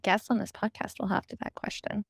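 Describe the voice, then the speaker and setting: A woman's voice: medium pitch, flat delivery, brisk, gravelly, slightly rough, dry recording. American woman reflecting in a dry, close recording. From United States. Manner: reflective, informal, frequent "like" and "you know". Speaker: podcast guest and co-founder. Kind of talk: conversational interview storytelling.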